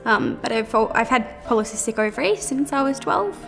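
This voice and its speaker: Woman in her twenties, higher gravelly voice, hesitant testimony. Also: expressive, soft background music. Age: 20s. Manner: hesitant, halting. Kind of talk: personal testimony interview.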